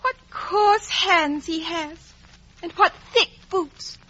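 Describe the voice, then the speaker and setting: A snooty young girl with a very high, bright voice. She is scornful and clipped, with lilting swoops, on a dull old radio recording. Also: takes it slowly, heavily acted. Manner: haughty, clipped. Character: haughty, scornful, impertinent-hating young girl. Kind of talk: 1950s radio drama acting. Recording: dull vintage radio broadcast.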